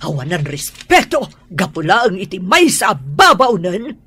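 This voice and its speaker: A woman playing a stern, husky mother. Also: mid-range voice, sing-song, moderate pace, sharp tone, no echo, heavily acted. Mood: angry. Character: stern proud mother, middle-aged woman. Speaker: mother disowning her son. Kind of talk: radio drama acting.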